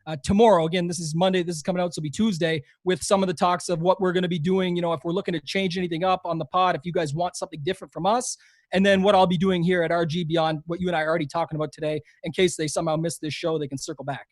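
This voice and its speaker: Young canadian guy chatting fast, kinda high voice, dry close sound, lots of fillers. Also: steady tone, dry recording. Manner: eager, rambling, frequent fillers and restarts. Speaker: guest, fantasy golf podcaster. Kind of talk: casual interview chat.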